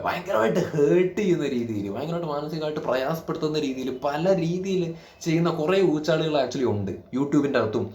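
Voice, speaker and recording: Animated, high-pitched man. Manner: indignant, scolding, emphatic, with rhetorical questions. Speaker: reaction video creator. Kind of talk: opinionated reaction commentary. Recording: echoey room.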